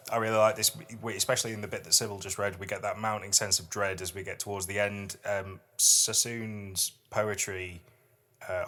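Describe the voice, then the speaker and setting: Man, low pitch, bright forceful tone, casual podcast co-host, explanatory, playful. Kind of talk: casual poetry discussion.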